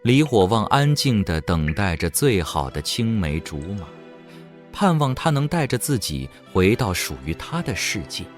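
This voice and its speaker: A man's voice: on the low side, big pitch swings, unhurried. A man giving dramatic scripted narration for an audio drama. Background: faint music.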